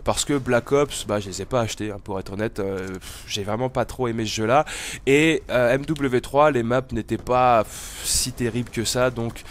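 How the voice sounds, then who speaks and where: A man's voice: medium pitch, moderate pace. A man with a cold giving casual, hesitant, meandering gameplay commentary as the host of a gaming video. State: has a cold.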